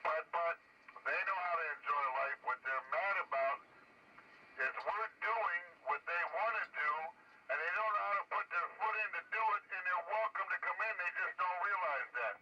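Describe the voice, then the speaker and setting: A man's voice: sits high, normal speed. A man speaking bluntly and emphatically over CB radio. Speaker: CB radio operator. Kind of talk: informal CB radio chatter. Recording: dull, noisy CB radio playback.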